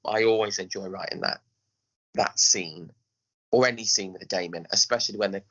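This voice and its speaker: A man with a slightly low, bright and forceful voice talks casually as a podcast guest. He is emphatic and slips into quick self-quotes. The recording is a little dull and lo-fi. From England. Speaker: podcast guest, pantomime director. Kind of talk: casual interview chat.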